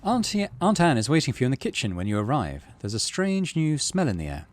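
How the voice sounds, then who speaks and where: Lively man musing through a game. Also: mid-range voice, a little fast, a little hiss or hum. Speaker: gaming channel host. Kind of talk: casual playthrough commentary mixed with reading story text aloud.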